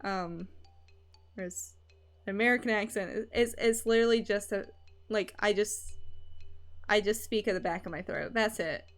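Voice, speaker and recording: A young woman with a soft mixed Edinburgh Scottish and Canadian accent, rambling casually and candidly on stream. Her pitch sits at an ordinary middle level for a woman, and she swears offhandedly. Faint music plays behind her. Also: normal speed, long pauses. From Scotland.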